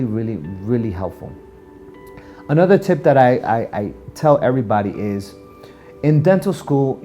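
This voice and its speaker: A man with a mellow, relaxed voice at a middling pitch talks informally, as a business owner passing on tips to viewers. He stresses his points and keeps checking in with short rhetorical questions. Music plays faintly behind him. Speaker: dental practice owner giving business advice to camera. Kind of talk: informal instructional talk for a video channel.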